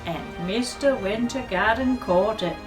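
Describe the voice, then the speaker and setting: A woman's voice: medium pitch, a little slow, over music. A woman reading a picture book aloud to young children, adding chatty asides and questions to her listeners. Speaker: children's storyteller.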